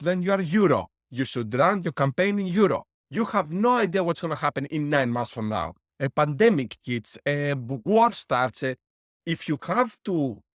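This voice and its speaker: A man's voice: fairly high pitch, no room sound, studio quality. A middle-aged man from Greece, a guest explaining business lessons with worked numbers and a wry laugh. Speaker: guest, board game publisher. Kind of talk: interview answers.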